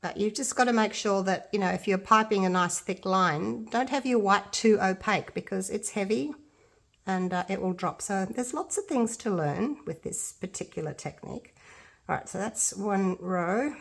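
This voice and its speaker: A woman's voice: medium pitch, normal speed, creaky. A middle-aged Australian woman talks through a craft demonstration in an easy, chatty way. Her nose is blocked up, so her voice sounds congested, and she thinks aloud and pokes fun at herself as she goes. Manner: chatty, self-deprecating, thinking aloud while working. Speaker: resin art tutor. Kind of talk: casual craft tutorial.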